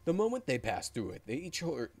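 A young man streaming, narrating and chatting casually in a playful, animated manner. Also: a little high, a little fast, husky. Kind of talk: casual livestream chat and game narration.

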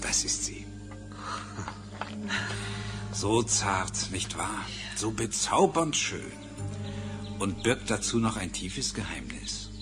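A secretive, nervous male orchid breeder in an audio drama. His low voice is evasive and hesitant, with lively swings in pitch. Music plays clearly behind him. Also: takes it slowly, pauses often. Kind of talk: German audio drama acting.

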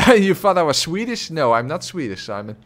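A man's voice: on the high side, very animated, normal speed, fairly bright tone. A man streaming a game and chatting with viewers, talking close to a desk microphone, rambling with many filler sounds and little chuckles. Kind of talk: casual live gameplay commentary, reading chat. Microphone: desk microphone close to the face.

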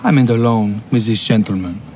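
Man acting prim, quick-spoken disapproving servant. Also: lowish voice, darkish tone, a little hiss or hum, natural voice. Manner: formal, deferential yet disapproving. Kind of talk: radio drama acting.

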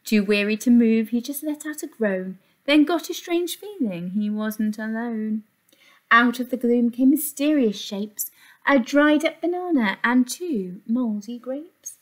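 A woman's voice: a little high, lively intonation, unhurried. A woman reads a picture book to young children with sing-song emphasis, like an infant teacher. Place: small indoor classroom.

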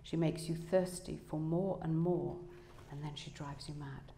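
A woman's voice: steady tone, unhurried, vocal fry, mellow, a little hiss or hum. A woman voicing a bitter, fearful runaway husband in a novel reading, speaking in a cold, accusing way. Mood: bitter, haunted. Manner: low-voiced, cold, accusing. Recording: echoey room, public reading.

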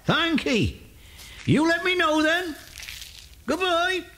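High-voiced rustic farm hand. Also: male voice, sing-song, takes it slowly, noisy background, heavily acted. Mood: concerned.

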